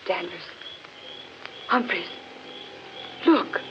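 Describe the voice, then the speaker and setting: A woman's voice: on the low side, animated, very slow. An actress playing a frightened, anxious woman lost in a haunted forest, melodramatic in 1940s radio style. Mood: alarmed. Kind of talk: 1940s radio horror acting. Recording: muffled vintage radio recording, slight noise.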